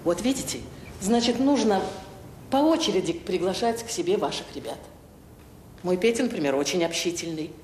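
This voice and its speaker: A woman's voice: fairly low pitch, lively intonation, moderate pace, a little mellow, lightly acted. An actress playing an assertive mother at a parents' meeting, matter-of-fact and assured, in a naturalistic radio drama. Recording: echoey room with faint noise.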